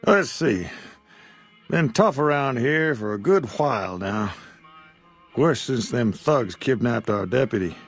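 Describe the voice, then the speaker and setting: A man's voice: medium pitch, expressive, slow, soft background music. A man voicing a weary old frontier shopkeeper who talks in a drawl. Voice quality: gravelly drawl. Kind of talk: video game dialogue.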